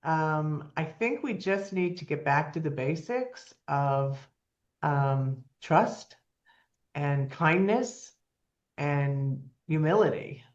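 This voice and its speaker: A woman's voice: deep voice, animated, takes it slowly, highs cut off. A woman in her seventies, a nonprofit founder talking as a guest in an earnest, plainspoken way with frequent ums. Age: 70s. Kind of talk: casual interview talk.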